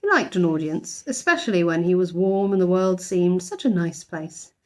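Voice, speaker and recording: A woman's voice: lowish voice, expressive, normal speed. A woman narrating a children's storybook expressively, like a teacher reading aloud.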